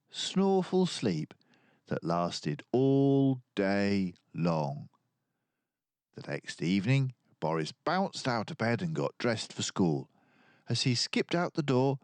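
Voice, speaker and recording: A man reads a children's story in an animated, theatrical way. His delivery is unhurried, with a pitch that moves around a great deal. The sound is dry, with very little room. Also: no echo. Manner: animated, acts out dialogue. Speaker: children's book reader. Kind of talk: expressive storybook read-aloud.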